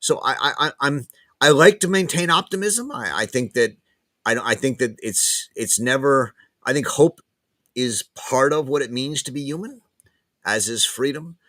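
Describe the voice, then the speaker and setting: A man, a guest professor, talking casually in an interview, pausing and repeating words as he thinks aloud. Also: mid-range voice, fairly bright tone, dry recording. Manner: hesitant, many restarts and repeated words.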